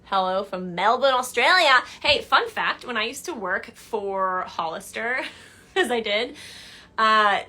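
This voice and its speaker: A young woman answering viewer questions in a casual livestream chat from her living room couch, animated and chatty. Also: a little high, a little slow. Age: young adult. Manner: chatty and animated, gestures a lot, laughs while telling stories. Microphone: phone camera at arm's length.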